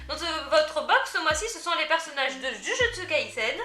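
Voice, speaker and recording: Bright high-voiced woman, chatty and giggly. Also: sing-song, moderate pace, reverberant, soft background music. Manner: chatty, easily delighted, giggly. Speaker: unboxing vlogger. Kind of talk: casual product unboxing chat.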